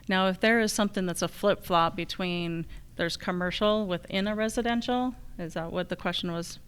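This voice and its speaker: Woman, American accent, lowish pitch, clean resonant tone, conversational, candidate forum answers. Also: normal speed, fairly bright tone, clean tone. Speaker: candidate for local assessor.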